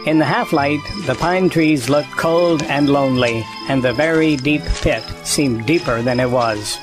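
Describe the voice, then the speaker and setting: A man reading a children's storybook as the narrator of an audio drama, in a gentle, even way. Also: mid-range voice, unhurried. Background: music.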